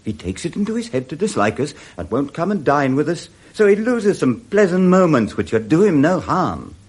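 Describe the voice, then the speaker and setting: An actor with a British accent playing a good-humoured, forgiving Victorian gentleman in a jovial 1960s radio drama style. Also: a little high, normal speed, breathy edge. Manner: jovial, animated, chuckling.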